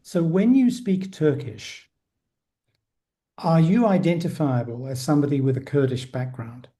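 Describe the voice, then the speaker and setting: A man's voice: fairly high pitch, a little slow, dark tone. A man hosting a podcast interview, asking probing questions and giving brief backchannels. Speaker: podcast host.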